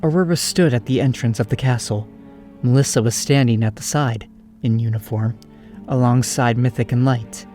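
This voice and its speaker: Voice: mid-range voice, normal speed, mellow. A narrator reading scripted storybook prose for an audio drama, over music.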